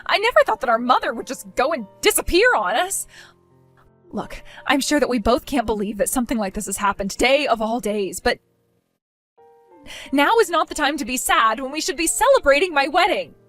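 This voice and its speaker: A woman's voice: high-pitched, expressive, a little fast, a bit bright, faint music behind. A woman playing a self-centered young bride who whines and pleads with her sister, in a scripted drama. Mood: self-absorbed.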